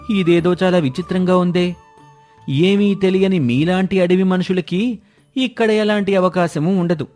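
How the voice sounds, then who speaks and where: A man's voice: high voice, darkish tone. A man voicing a haughty, condescending royal minister in a cartoon moral story. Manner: condescending, officious.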